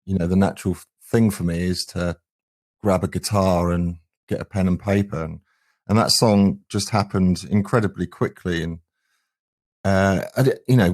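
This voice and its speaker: A middle-aged man speaks in a very low voice. He is earnest and thoughtful, like a musician reflecting in an interview. The sound is dry, with little room around it. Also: a little mellow, dry recording. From England. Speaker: band singer and guitarist, interview guest.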